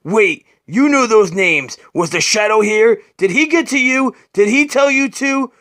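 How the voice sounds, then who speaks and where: A man voicing an intense, angry masked vigilante hero in a comic reading, forceful and demanding. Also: sits high, lively intonation, unhurried, voice pushed up. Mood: alarmed.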